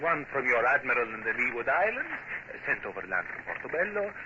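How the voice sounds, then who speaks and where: Ceremonious Spanish naval officer with swooping intonation played by an actor. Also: male voice, medium pitch, very animated, quick pace, close to an everyday voice. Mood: courteous, ceremonious. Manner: ceremonious, emphatic. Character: courteous, earnest Spanish naval officer, flowery and formal. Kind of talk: old radio drama acting. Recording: dull, hissy old radio recording.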